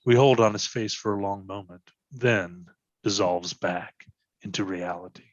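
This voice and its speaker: A man's voice: lowish voice, measured pace, no room sound, dull audio. A man reading stage directions as scripted narration for a readers theatre.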